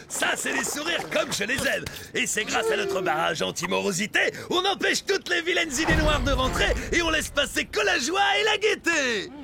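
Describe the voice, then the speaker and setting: A man voicing an overjoyed, pushy adult male creature in a cartoon dub, booming his exclamations like a showman. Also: sing-song, normal speed, gravelly, few pauses, heavily acted. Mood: jolly. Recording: loud music behind.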